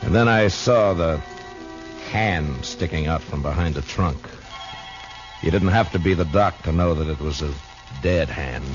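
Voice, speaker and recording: A man playing a calm, measured frontier marshal who also narrates, in a 1950s radio drama. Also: deep voice. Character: Matt Dillon, steady frontier marshal. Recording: dull lo-fi old radio recording with music.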